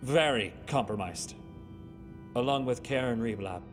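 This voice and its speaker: A man voicing a foul-mouthed, domineering high school valedictorian in a video game cutscene. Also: measured pace, over music, natural voice. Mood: nervous, stammering. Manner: domineering, swearing, menacing.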